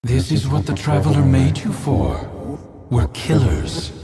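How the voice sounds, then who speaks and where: A man's voice: medium pitch, sing-song, unhurried. A man playing a taunting, bitter phantom of a murderous prince in a video game, needling and mocking. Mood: goading. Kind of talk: video game character dialogue. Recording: long ghostly reverb over loud music.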